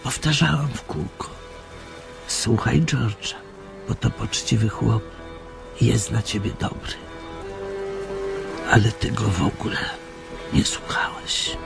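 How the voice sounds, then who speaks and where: An actor with a low, creaky voice playing a scolding old aunt, a nagging, reproachful apparition in a radio drama. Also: male voice, slow pace, pauses often, loud music behind the voice, full character voice.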